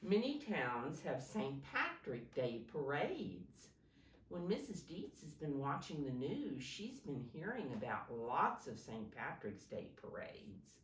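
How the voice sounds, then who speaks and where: A woman with a low voice reads to young children in an instructive, simple storytelling style. Her intonation swings in a lively way as she explains and prompts. The recording is roomy and a bit distant, with faint noise behind her. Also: takes it slowly. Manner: simple, instructive, points out pictures and prompts listeners. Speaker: teacher reading a picture book to young children.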